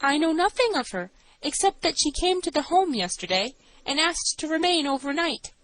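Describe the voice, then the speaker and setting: Lady doing a jittery matron, way higher voice, earnest and anxious, audiobook, very dry sound. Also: lively intonation, moderate pace, fairly bright tone, no echo, rough recording. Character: nervous, trembling female assistant matron giving testimony.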